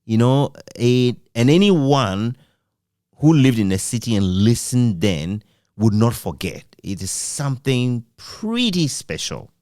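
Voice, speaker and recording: A man conducting a reflective interview, phrasing his questions in a measured, deliberate way. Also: medium pitch, very animated, darkish tone, dry recording, studio quality. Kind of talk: reflective radio-history interview.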